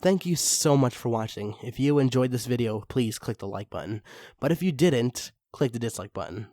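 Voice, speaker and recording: A man's voice: medium pitch, expressive, normal speed, dark tone, no room sound. A man reading dramatic fiction aloud as a scripted narrator. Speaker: narrator and story reader. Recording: background music under the reading. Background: music.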